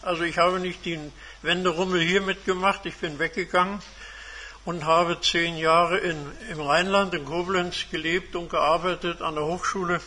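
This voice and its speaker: A man of seventy-five giving a measured, formal autobiographical talk to a seminar as a guest speaker. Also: high voice, fairly even intonation, bright, forceful tone. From Germany. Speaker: guest speaker, retired engineer and academic. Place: seminar room.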